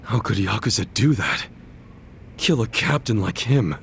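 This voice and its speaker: A man's voice: lowish voice, sing-song, a little slow, gravelly, slight background noise, exaggerated voice. An actor playing an earnest, loyal middle-aged yakuza man in a dramatic video game, speaking in a blunt, heartfelt way. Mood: shocked, pained.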